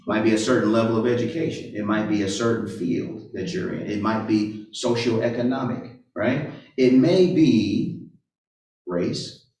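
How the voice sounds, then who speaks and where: A man's voice: lowish voice, unhurried, a little mellow. A man giving an interactive sermon who asks many rhetorical questions and keeps checking in with "right?". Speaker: pastor or Bible teacher. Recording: roomy echo, far from the mic.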